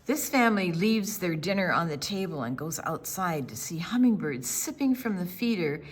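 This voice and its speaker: An older woman reading a picture book to children as a storytime presenter, with deliberate storyteller phrasing and dramatic pauses. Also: on the low side, expressive, husky.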